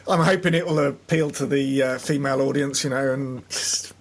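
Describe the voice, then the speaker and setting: A man co-hosting a podcast with a hoarse voice from a cold, teasing and joking in casual banter. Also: medium pitch, fairly even intonation, normal speed. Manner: teasing, jokey. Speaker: podcast co-host.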